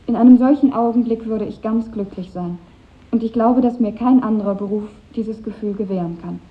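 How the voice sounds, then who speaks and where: Through a narrow, phone-like vintage radio recording comes a high-voiced, earnest schoolgirl, played by an actress. She speaks precisely and idealistically, as in a 1950s radio drama. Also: brisk, close to an everyday voice. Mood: dreamy, idealistic. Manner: earnest, precise reading aloud of an essay. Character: earnest, idealistic schoolgirl in her final year.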